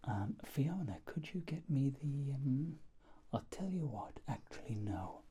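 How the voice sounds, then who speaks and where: A man speaking in a soft, breathy whisper, gently playing a polite, reassuring ear examiner in an ASMR roleplay. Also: medium pitch, sing-song, unhurried, a little hiss or hum.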